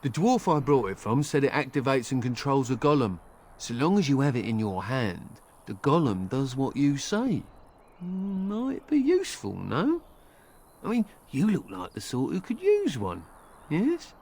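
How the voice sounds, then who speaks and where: A man's voice: mid-range voice, sing-song, unhurried, darkish tone. An actor playing a hapless, nervous travelling merchant in a fantasy game, rambling and questioning himself as he talks. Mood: coaxing, sheepish. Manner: flustered, rambling, self-questioning. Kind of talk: video game dialogue acting.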